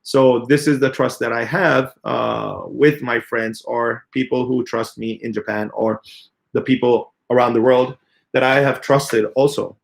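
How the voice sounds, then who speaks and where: A man in his thirties, a company CEO, giving a halting, earnest unscripted answer full of filler sounds. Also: mid-range voice, fairly even intonation, gravelly. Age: 30s. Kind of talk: unscripted spoken answer in an AMA.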